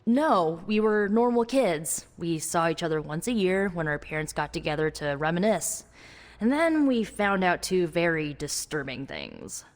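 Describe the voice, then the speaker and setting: Wry young heroine, reverberant. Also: female voice, fairly low pitch, big pitch swings. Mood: wry storytelling. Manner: wry, hesitant, halting asides. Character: young woman blood-magic spellcaster, wry, guarded ex-runaway hero. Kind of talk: video game character dialogue, conversational. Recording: long reverb, music underneath.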